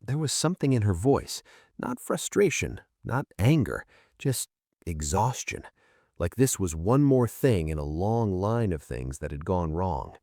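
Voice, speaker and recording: Deep-voiced man narrating, widely varied intonation. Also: sing-song, unhurried, warm tone, no echo, studio quality. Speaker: storyteller. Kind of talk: scripted first-person story narration.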